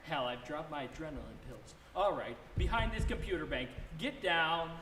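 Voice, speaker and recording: A man playing a brash, flippant space rogue, swinging between muttered asides and yells in a live comedy radio play. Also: a little high, expressive, normal speed, close to an everyday voice. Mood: flippant grumbling. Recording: echoey hall, noisy distant microphone.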